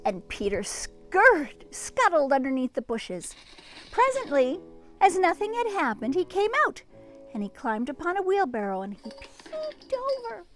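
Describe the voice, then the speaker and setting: Animated high-voiced woman reading a children's story. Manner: animated, dramatic pauses, exclaims and comments to young listeners. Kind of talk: expressive read-aloud for young children. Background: music and sound effects.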